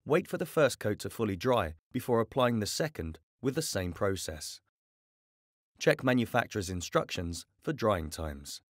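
A man calmly narrating a scripted home-improvement tutorial in a clear, measured way. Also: fairly low pitch, no echo, studio quality. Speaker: voice-over narrator.

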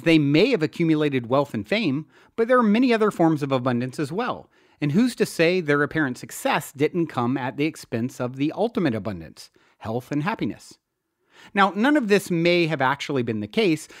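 A man from the US East Coast reading his own self-help book in a deliberate, earnest way. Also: fairly high pitch, no echo, studio quality. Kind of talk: scripted audiobook narration.

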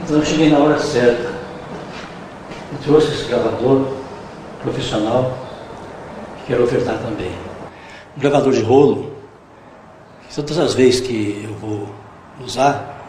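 A man's voice: medium pitch, a little slow, long pauses, darkish tone, lightly acted. An actor playing a devout, solemn, generous male cleric in a radio soap, speaking earnestly. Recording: echoey room, faint noise.

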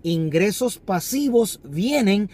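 Man, 30s, fairly high voice, earnest finance coach filmed by phone from a car seat. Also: lively intonation, slow pace, darkish tone, husky. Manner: earnest, instructive, repeats key phrases. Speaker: finance vlogger. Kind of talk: motivational talk to camera. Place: inside a parked car. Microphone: phone camera on the dashboard.

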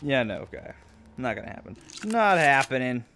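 A man's voice: mid-range voice, big pitch swings, moderate pace, gravelly, pushed and bright, slight background noise. A man giving casual, wry, joking commentary as he plays a video game. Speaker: gamer, host. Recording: game music behind the voice. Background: video game music.

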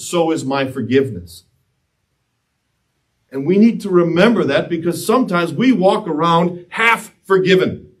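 Impassioned pastor, distant in a church. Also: male voice, sits high. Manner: swings between loud impassioned rises and hushed quiet stretches; gestures widely while preaching standing before the altar. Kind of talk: sermon. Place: church sanctuary, mid-sized, indoors. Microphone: far camera, no handheld mic. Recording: speaker distant from the mic.

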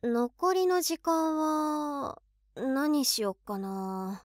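An actress playing an overworked, excitable office woman in her twenties who is a devoted fan, musing aloud expressively in a voice drama. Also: high-pitched, very slow, dry recording, studio quality, full character voice. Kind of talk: Japanese situation voice drama monologue.

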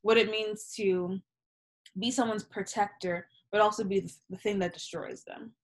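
Animated young woman on a video call. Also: mid-range voice, normal speed. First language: Yoruba. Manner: animated, gesturing, candid with swearing. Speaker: poet, interview guest. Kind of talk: casual reflective conversation. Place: small room at home. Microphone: laptop webcam on video call.

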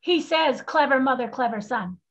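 Husky, high, cheeky young sister, played by a woman. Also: animated, normal speed. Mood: playful. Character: cheeky, blunt young Chinese sister working as scribe. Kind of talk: staged play reading over video call. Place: home room on video call. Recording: muffled video call audio.

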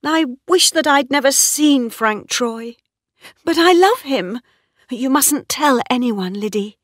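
A woman's voice: a little high, sing-song, measured pace, a bit bright, no echo, studio quality, pitched above the performer's own voice. A woman voicing a proud, headstrong, lovestruck young mistress of a farm, emotional and insistent, as storybook dialogue. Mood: anxious, lovestruck.

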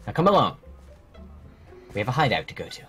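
A man's voice: medium pitch, very animated, fairly quick, fairly bright tone. A man voicing a stern, protective dragon guardian who instructs young fighters solemnly and formally in a Minecraft roleplay. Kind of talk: improvised Minecraft fantasy roleplay. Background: music.

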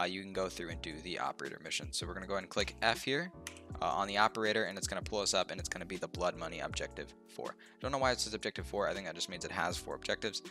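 Stuffed-up young man explaining a game. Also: low voice. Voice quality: nasal. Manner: relaxed, rambling, lots of ums and uhs. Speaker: gaming youtuber. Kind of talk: casual game walkthrough. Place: home room, indoors.

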